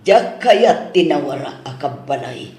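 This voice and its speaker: Man acting a slow-talking, nagging elderly mother-in-law. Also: a little high, lively intonation, a little mellow. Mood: scolding. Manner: slow, admonishing, drawn-out motherly speech. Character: nagging, caring older mother-in-law, strict but generous. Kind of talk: Ilocano audio soap drama acting. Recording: roomy, echoing studio sound.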